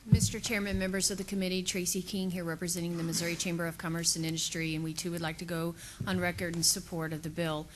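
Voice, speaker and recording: A woman's voice: on the low side, flat delivery, fast, hardly any pauses, rough recording. A woman giving formal testimony as a business lobbyist, recorded with noticeable background noise. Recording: clear background noise, room ambience.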